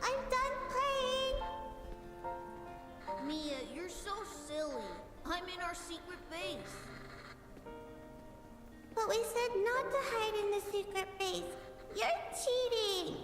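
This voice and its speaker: A woman's voice: very high-pitched, expressive, slow, long pauses, exaggerated voice. A woman voicing a small, plaintive child character in a video game. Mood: plaintive, childish. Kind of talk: scripted video game dialogue. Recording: game music behind the voice.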